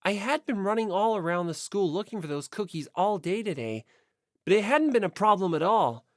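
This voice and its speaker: Animated woman narrating an audiobook. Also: medium pitch, a little fast, no room sound, studio quality. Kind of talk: expressive first-person story narration.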